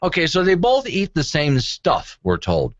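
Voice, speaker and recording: A man's voice: fairly high pitch, wildly swooping pitch, unhurried, no room sound, dull audio. A man hosting a call-in radio talk show, chatting conversationally with wry, joking asides. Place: radio studio.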